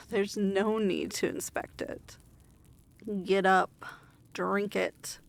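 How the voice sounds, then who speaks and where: A woman playing a haughty, weary demon lord in an ASMR fantasy roleplay, speaking in a formal, measured, imperious manner. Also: medium pitch, steady tone, gravelly. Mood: cold, weary.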